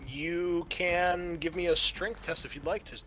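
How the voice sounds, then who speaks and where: Bright-voiced man running a tabletop game. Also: sits high, fairly even intonation, soft background music, rough recording. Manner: halting, self-correcting delivery. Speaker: game master. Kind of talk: narrating a tabletop roleplaying game.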